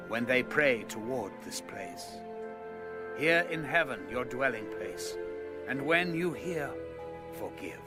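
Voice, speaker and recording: A man reads scripture as an audio narrator, in a mid-pitched voice with clear music playing behind him. His delivery is measured and solemn, following a formal script.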